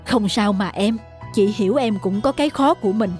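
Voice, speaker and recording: A woman's voice: medium pitch, moderate pace, darkish tone, faint music behind, natural voice. A woman voicing a humble, kind middle-aged woman in a cartoon, speaking politely. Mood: gentle. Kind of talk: cartoon voice acting.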